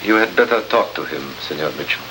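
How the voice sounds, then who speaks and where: An actor playing a courteous, formal Latin American government secretary in a 1950s radio drama. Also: male voice, very deep voice, expressive, a little fast. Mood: politely guarded. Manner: formal, polite. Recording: very noisy old radio broadcast recording.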